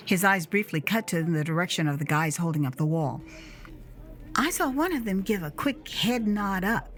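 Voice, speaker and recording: A woman narrating a first-person memoir as audio drama in an expressive, conversational way. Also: on the low side, moderate pace. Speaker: author and narrator.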